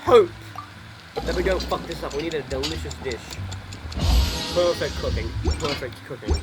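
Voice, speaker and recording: Young dude streaming a game, rambly, keeps correcting himself, kinda high voice. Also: male voice, moderate pace, music in the background. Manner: rambling, self-correcting, joking. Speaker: gaming streamer. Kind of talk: casual gameplay commentary.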